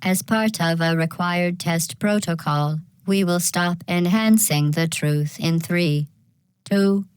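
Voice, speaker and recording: A woman playing a polite, coldly sinister artificial intelligence in a video game, giving measured, deadpan clinical announcements through synthetic robotic processing. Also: lowish voice, clean tone, dry recording, rough recording.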